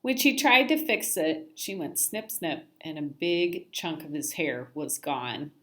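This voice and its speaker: A woman's voice: low-pitched, animated, unhurried, a bit bright. A woman narrating a children's story aloud expressively, like a teacher reading to a class.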